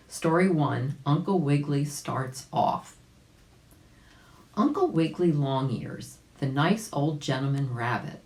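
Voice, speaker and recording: Low-voiced, animated woman reading a story. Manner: chatty, addresses listeners directly. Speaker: volunteer story reader. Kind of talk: storybook read-aloud with chatty asides. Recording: roomy, echoing home recording.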